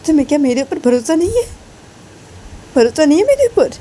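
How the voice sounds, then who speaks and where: Woman acting a high-pitched, tearful young wife, hurt. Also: very animated, brisk, dark tone, slight background noise. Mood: indignant, hurt. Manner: pleading, repeats herself insistently. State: crying.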